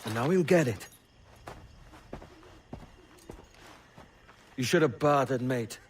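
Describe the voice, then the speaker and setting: An actor playing a bold, plain-spoken pirate captain in a video game, brisk, confident and blunt. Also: male voice, medium pitch, animated, a little hiss or hum, lightly acted. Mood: stern. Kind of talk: video game cutscene acting.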